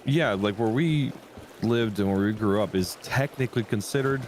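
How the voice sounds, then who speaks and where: A man's voice: on the low side, normal speed, darkish tone, a little hiss or hum. A man chatting casually with a friend during a game stream, laid-back and swearing offhandedly. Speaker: co-op gaming guest. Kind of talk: casual chat while gaming.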